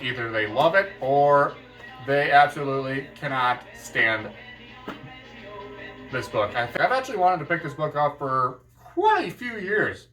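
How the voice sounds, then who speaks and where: A man chatting casually to camera about books, animated and quick to jump up in excitement. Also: distant mic. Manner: animated, jumps up in pitch with excitement. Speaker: book vlogger.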